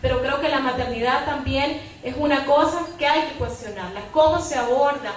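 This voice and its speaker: A high-voiced woman argues her view as a panelist at a public debate. She keeps tagging her points with a short question for agreement. The sound is very echoey and somewhat distant, with faint noise. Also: moderate pace. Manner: repeats a questioning tag to seek agreement. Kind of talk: opinionated public debate commentary.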